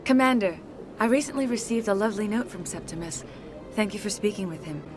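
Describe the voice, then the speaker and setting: An actress playing a serene, mystical alien courtesan in a video game, speaking formally and poetically. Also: female voice, medium pitch, lively intonation, fairly quick, noisy background, lightly acted. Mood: gracious. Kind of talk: video game cutscene acting. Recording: music behind.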